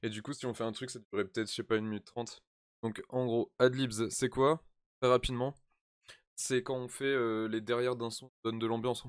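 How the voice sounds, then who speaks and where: A man's voice: medium pitch, flat delivery, fairly quick, clean tone, no echo. Casual man in a very dry recording. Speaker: music video creator recording a drill track. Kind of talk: casual explanatory vlog chat.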